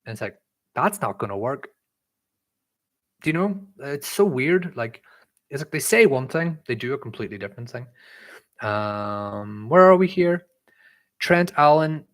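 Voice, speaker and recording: A man's voice: medium pitch, a bit bright. Casual man hosting a live stream. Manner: informal, conversational, thinking aloud. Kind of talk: casual live chat, reading and answering viewer questions.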